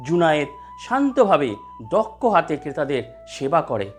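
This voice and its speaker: A man tells a scripted cartoon story as its narrator. His voice sits fairly high and swings widely in pitch, giving the telling an expressive, lively delivery. Soft music plays behind him. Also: normal speed.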